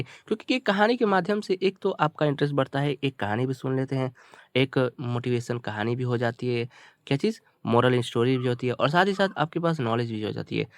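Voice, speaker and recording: A man's voice: medium pitch, dry recording, studio quality. A man teaching through a story, reading phrases out and translating them word by word in a repetitive, explanatory manner. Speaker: teacher, channel host.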